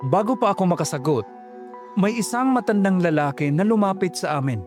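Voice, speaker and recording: A man's voice: high voice, lively intonation, a little fast, darkish tone, faint music behind. A man narrating a first-person horror story in a tense, dramatic way.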